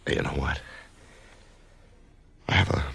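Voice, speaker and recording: An actor playing a dazed male writer who narrates and wakes from surgery, in radio drama style, with a hushed, halting voice. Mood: weak, wondering. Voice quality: gravelly, hushed. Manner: halting, stammering when shaken. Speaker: narrator and lead character.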